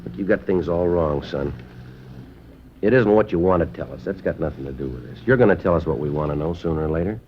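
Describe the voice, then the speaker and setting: Low, clipped American police detective. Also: male voice, quick pace, a little hiss or hum. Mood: firm questioning. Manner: clipped, deadpan, no-nonsense. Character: stern, matter-of-fact male police sergeant detective. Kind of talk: 1950s American radio police drama, dialogue and narration. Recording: muffled vintage radio recording.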